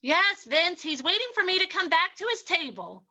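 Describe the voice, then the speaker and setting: Actress doing a saloon gal from a radio western, flirty gangster's accomplice, high bright voice, coy. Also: female voice, normal speed, full character voice. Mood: worried. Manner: coy, animated. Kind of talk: old-time radio drama reading.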